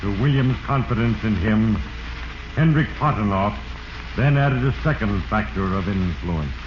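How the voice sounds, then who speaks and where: Echoing, sinister voice of Fate. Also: male voice, on the low side, lively intonation, unhurried. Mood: ominous, solemn. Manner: portentous, solemn, formal. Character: sinister, heavy-handed male Fate narrating a man's doom. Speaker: narrator host. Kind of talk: 1940s radio drama narration. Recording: echoing, dull, noisy 1940s radio recording.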